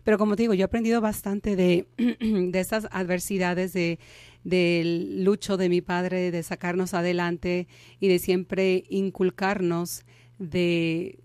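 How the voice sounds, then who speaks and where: A woman in her thirties, born in Mexico, speaking as an interview guest and telling her story candidly with pauses and restarts. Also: fairly low pitch, flat delivery, a little mellow, no room sound. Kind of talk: conversational interview.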